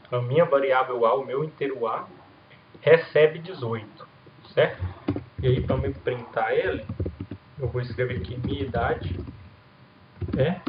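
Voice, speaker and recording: A man in the middle of his range teaches beginner programming in an easy, conversational way, and he keeps checking in with his students as he walks through the code. His voice comes across roomy and a little dull, with a faint hiss underneath. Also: medium pitch. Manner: casual explanatory, frequent "né?" and "certo?" checks. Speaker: programming instructor. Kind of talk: recorded coding lesson with screen demo.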